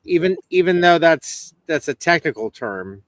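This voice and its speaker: A man's voice: fairly high pitch, sing-song, normal speed, dry recording. A man co-hosting a casual call-in chat, joking and rambling, often trailing off mid-sentence. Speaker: co-host.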